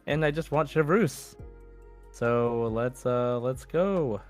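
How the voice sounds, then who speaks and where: A man's voice: mid-range voice, slow, soft background music. A man co-hosting a podcast, talking to listeners in a casual, informal and conversational way. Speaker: podcast co-host.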